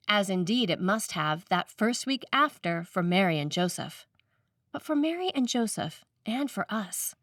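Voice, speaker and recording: A woman priest reading a scripted sermon in a measured, deliberate way, with pauses for emphasis. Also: medium pitch, fairly bright tone, no echo. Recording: slightly distant microphone, dry room.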